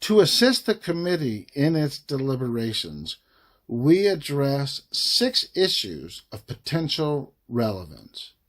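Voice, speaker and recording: Male reader, very slow pace, lively rise and fall, measured emphasis, formal legal report narration. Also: medium pitch. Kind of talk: read-aloud of a formal legal document.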